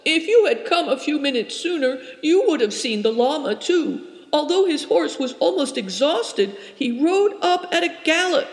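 A frightened young herdswoman, as a reader puts her on, stammers out her tale on the edge of sobbing. Her voice sits high and strained, and a long reverb trails behind it in a roomy space. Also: very animated, moderate pace, hardly any pauses. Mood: tearful. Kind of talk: audiobook character reading.

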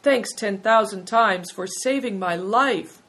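A woman voicing a frightened, obstinate innkeeper man in a story, whining and groaning in faint, drawn-out complaint. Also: medium pitch, fairly bright tone, voice pushed up. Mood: shaken, relieved.

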